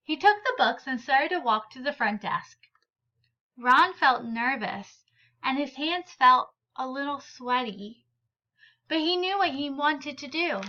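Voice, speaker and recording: A woman reading a children's picture book aloud in an expressive, deliberate and emphatic way. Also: high-pitched, lo-fi sound. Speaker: read-aloud storyteller.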